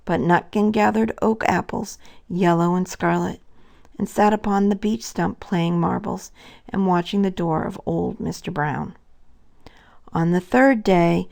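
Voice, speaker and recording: Woman reading a children's story, creaky voice. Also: fairly low pitch, darkish tone. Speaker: storybook reader. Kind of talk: scripted children's story narration.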